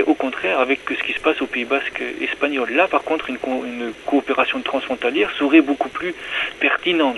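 Man, creaky medium-pitched voice, academic interviewee, didactic and emphatic, muffled radio recording. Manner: articulate, didactic, emphatic repetition. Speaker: academic author and teacher being interviewed. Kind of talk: explanatory interview talk. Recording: dull lo-fi radio sound, faint noise.

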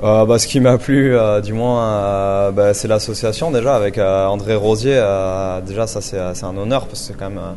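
Young chef, low hesitant voice. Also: male voice, steady tone, moderate pace, few pauses, warm tone, slight background noise. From Paris. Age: 20s. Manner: hesitant, many fillers and restarts. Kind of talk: interview answers.

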